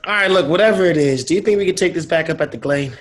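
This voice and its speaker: An actor reading a wisecracking, jumpy young male companion in a film script, with an excitable manner. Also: a little high, fast, natural voice. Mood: uneasy. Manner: joking, excitable. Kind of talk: film script table read.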